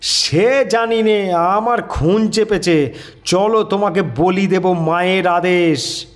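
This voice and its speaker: Man acting a roaring, high-pitched frenzied young prankster. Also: takes it slowly. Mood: fierce, demanding. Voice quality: harsh, roaring. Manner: menacing, commanding shouts. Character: rowdy young male prankster feigning bloodthirsty frenzy with a cleaver.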